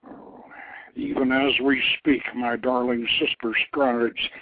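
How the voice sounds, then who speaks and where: A man plays a scheming god who talks low and guarded about a secret plan. The delivery is slow and husky. It comes through a narrow, phone-like line. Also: little pitch movement, no room sound. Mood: conspiratorial. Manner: conspiratorial.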